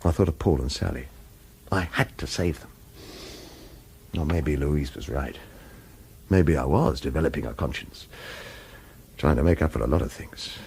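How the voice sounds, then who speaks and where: An actor playing a wily, world-weary hired killer in a radio thriller, with wry, informal delivery. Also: male voice, rumbling low, moderate pace, creaky, long pauses, a little mellow, breathy edge, full character voice. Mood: brooding. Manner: wry, informal, urgent persuasion.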